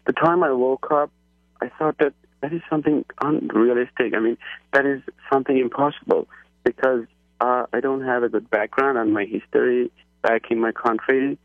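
A man from Iran calling into a radio show, speaking eagerly and haltingly with many restarts. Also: mid-range voice, fairly even intonation, no room sound. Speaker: caller. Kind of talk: phone-in call. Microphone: telephone. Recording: husky tinny phone line.

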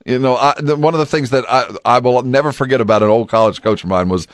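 A man hosting a conversational sports talk radio show, speaking in a deliberate, reflective way. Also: medium pitch, no room sound, studio quality.

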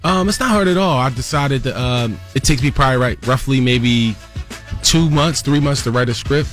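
A man from Pennsylvania speaks as a playwright guest on radio, explaining things in a plain, informal way. His pitch is average for a man, and soft music runs behind him. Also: moderate pace. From Norristown, Pennsylvania. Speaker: playwright and producer, interview guest. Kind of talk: casual explanatory interview. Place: radio studio.

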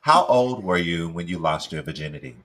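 A man's voice: low-pitched, expressive, a little fast, a bit bright. A man in his late twenties co-hosting a podcast, chatting bluntly and animatedly, cursing freely. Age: 20s. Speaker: podcast co-host. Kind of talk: casual chat.

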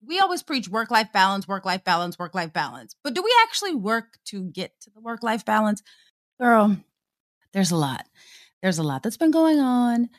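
A woman's voice: mid-range voice, a bit bright. A woman in her late thirties co-hosting a podcast, upbeat and affirming, repeating phrases for emphasis. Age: late 30s. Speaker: podcast co-host. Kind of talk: casual chat.

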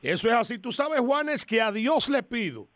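Copresentador dominicano, voz aguda y seca, muy enfático. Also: male voice, very high-pitched, sing-song, normal speed, a bit bright, no echo. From Dominican Republic. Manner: emphatic and enthusiastic, addresses the audience directly. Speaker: radio co-host. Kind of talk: live radio interview.

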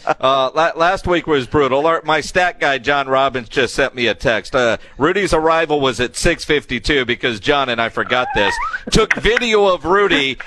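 A man's voice: a little high, expressive, moderate pace, pushed and bright, a little hiss or hum. A man hosting a sports radio show, chatting casually and telling teasing anecdotes. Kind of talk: casual chat.

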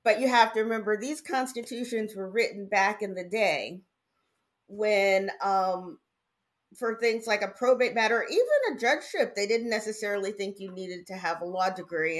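A middle-aged woman who is a judge, raised in Connecticut, telling her story in a relaxed, articulate way as an interview guest. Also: medium pitch. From Indiana, raised in Connecticut. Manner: articulate, measured, chatty with laughs.

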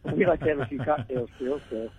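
A man's voice: medium pitch, brisk, a little mellow, slightly rough, no room sound. A man from Oklahoma, a touring musician, chatting easily as a guest over the phone and laughing now and then. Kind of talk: casual interview by phone. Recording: tinny phone line.

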